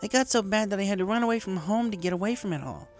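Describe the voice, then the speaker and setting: A woman's voice: mid-range voice, rapid-fire, pitched above the performer's own voice, lightly acted. Woman voicing a brash, proud young mare. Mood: defensive. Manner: assertive, argumentative, forceful. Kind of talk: fan fiction character dialogue. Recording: music behind the voice.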